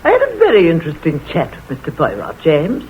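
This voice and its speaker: Prim, needling sister; lively voice, thin tinny old radio with faint hiss. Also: female voice, deep voice, brisk. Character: nosy, bossy woman, the doctor's sister.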